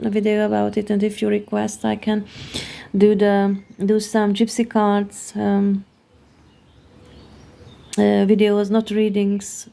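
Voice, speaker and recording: Slow, gravelly-voiced Hungarian woman. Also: mid-range voice, warm tone. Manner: halting, searching for words. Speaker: tarot card reader. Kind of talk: explaining a card reading.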